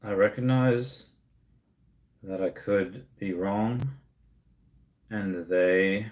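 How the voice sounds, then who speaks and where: Man in his thirties, streamer host, rambling casual delivery, slightly low voice, echoey room. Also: extremely slow, a little mellow. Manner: rambling, many restarts, laughs at comments. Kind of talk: casual livestream chat reading comments.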